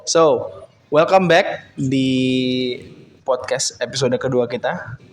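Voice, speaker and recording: Seorang pria muda dengan logat Makassar, membawakan podcast obrolan santai dengan gaya bercanda. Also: male voice, medium pitch, slight background noise. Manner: playful, joking, quick banter. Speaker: podcast host. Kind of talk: casual podcast chat.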